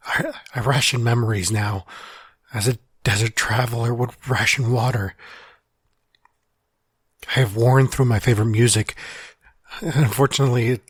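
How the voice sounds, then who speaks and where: A man playing a halting, husky, lonely soul. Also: mid-range voice, no room sound. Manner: halting, stammering, sighing. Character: lonely man trapped alone in his own mind. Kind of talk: audio drama monologue.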